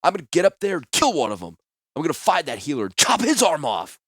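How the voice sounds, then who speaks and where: A man voicing a furious, violent one-armed man who snarls and shouts. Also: fairly high pitch, wildly swooping pitch, quick pace, no echo, clean studio sound, pitched above the performer's own voice, exaggerated voice. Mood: snarling.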